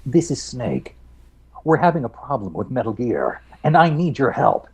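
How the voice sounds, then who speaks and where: A man playing a gruff, urgent stealth soldier from a video game, with a raspy, gravelly voice. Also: mid-range voice, wildly swooping pitch, moderate pace, darkish tone, lo-fi sound, soft background music, heavily acted. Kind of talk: video game character acting.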